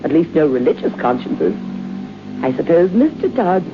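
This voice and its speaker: A woman's voice: sits low, sing-song, moderate pace. An actress playing an imperious, willful, mocking lady of the house in an old-time radio drama, with a haughty, theatrical manner. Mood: musing, mocking. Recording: muffled, hissy old radio broadcast.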